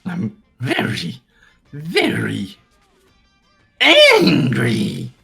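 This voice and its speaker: A man voicing a sinister robotic bear mascot in a fan-voiced game, melodramatic and mock-sweet with drawn-out phrasing. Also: fairly high pitch, huge pitch swings, creaky, sharp tone, slightly rough, soft background music, pitched above the performer's own voice, full character voice. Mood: aggrieved, angry. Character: sinister theatrical robotic bear mascot, male, gleefully cruel.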